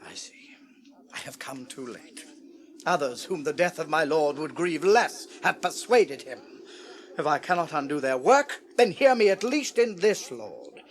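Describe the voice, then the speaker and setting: A scheming royal counsellor in a fantasy radio play, with a British accent. The voice is high and highly animated, fawning and insinuating in every phrase. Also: male voice, a little slow, slight background noise. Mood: wheedling, pleading. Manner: servile, insinuating, pleading.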